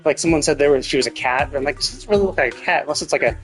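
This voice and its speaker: A man's voice: on the high side, very fast, a bit bright, faint music behind. A man giving casual live game stream commentary, musing aloud in a rambling way and rephrasing as he goes.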